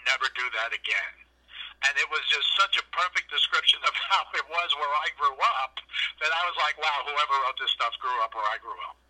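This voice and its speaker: A man's voice: a little high, fairly quick, pushed and bright. A man in his fifties from New York, with a New York accent, telling long rambling stories in casual podcast chat over a phone line. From New York City. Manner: rambling storyteller with fillers.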